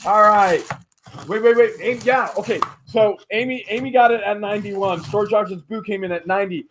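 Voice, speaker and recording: A man hosting a live online auction stream, calling out bids excitedly and chatting with viewers. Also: high-pitched, a little hiss or hum. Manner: excited, rapid bid calling. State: often loses voice after streams. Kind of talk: fast auctioneer-style live stream chat.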